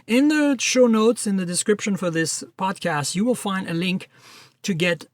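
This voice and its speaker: Man, high voice, dry close sound, casual tech podcast host, upbeat and chatty. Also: moderate pace, dry recording. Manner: chatty, upbeat, rambling plugs.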